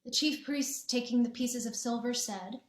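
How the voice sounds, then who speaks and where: A woman's voice: on the high side, normal speed, echoey room. A woman narrating a scripture passage in a church, reading evenly and carefully. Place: church sanctuary.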